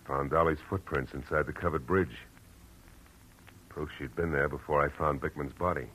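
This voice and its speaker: An actor playing a hard-boiled, world-weary but compassionate private detective in 1940s radio drama style. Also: male voice, rumbling low, normal speed, pushed and bright, slight background noise, low-quality recording. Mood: firm, matter-of-fact. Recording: old radio recording with music.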